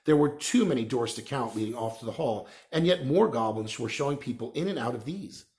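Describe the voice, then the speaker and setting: A man reading a children's novel aloud as an expressive storyteller. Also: medium pitch, a little fast. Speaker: reader, storyteller.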